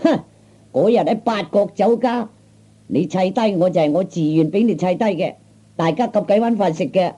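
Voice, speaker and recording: A man's voice: high voice, moderate pace, dark tone. A man playing a cocky, blustering young street tough with a Cantonese accent, in old radio comedy style. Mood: threatening. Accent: Cantonese, Hong Kong or Guangdong. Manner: blustering, taunting, stammering interjections. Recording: dull old 1960s comedy record.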